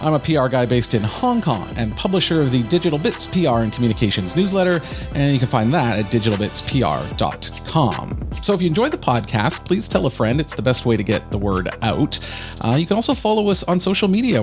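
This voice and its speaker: A man co-hosting a podcast in a casual, conversational style. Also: medium pitch. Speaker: podcast co-host.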